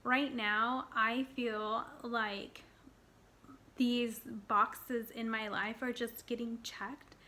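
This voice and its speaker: A woman of thirty talking casually to her viewers, excited and rambling, with fillers and restarts. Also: a little high, very slow. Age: 30s. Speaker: personal finance vlogger. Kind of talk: casual talk to camera.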